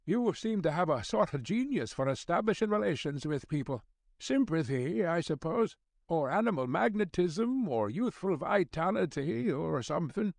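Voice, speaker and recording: Guy doing a old scottish newspaper boss, highish voice, crabbed but kindly, fussy, audiobook dialogue. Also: big pitch swings, normal speed, gravelly, darkish tone, no echo, heavily acted. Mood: coaxing. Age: older.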